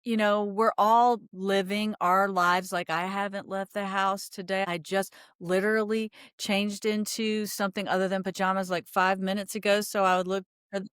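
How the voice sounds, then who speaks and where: A woman's voice: medium pitch, flat delivery, normal speed, hardly any pauses, a bit bright, smooth, no echo, studio quality. A middle-aged American woman hosting a casual interview in a chatty, reflective, informal way. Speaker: podcast host, interviewer.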